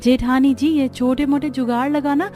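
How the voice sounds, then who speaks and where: Cartoon role: eager, resourceful young village bride, higher-pitched, respectful to elders, acted by a woman. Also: steady tone, moderate pace, dark tone, faint music behind, close to an everyday voice. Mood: eager, helpful. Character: resourceful, cheerful young daughter-in-law of poor household. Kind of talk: Hindi cartoon story dialogue.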